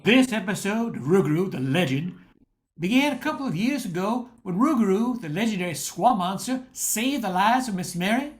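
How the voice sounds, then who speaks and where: Older woman narrating with animated pitch. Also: moderate pace. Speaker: narrator and announcer. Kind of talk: scripted radio play narration.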